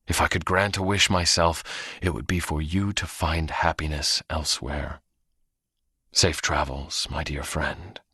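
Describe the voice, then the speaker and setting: An actor playing a proud, theatrical vampire in a video game, speaking in a pompous, formal and dramatic way. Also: male voice, a bass voice, fairly even intonation, unhurried, sharp tone, slightly rough, dry recording, exaggerated voice. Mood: solemn, fond.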